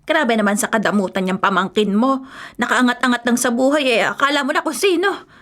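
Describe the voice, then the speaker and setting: A woman acts out a bitter Filipino wife in a radio drama. Her high, piercing voice nags and gripes loudly, full of ungrateful scorn. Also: a little fast, natural voice. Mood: bitter, scornful. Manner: nagging, loud griping. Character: bitter, ungrateful, sharp-tongued wife recovering from surgery.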